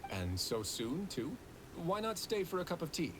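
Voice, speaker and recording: An actor playing a confident, easygoing male prison warden in a video game, talking in a relaxed, wry way. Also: on the high side, moderate pace, very noisy.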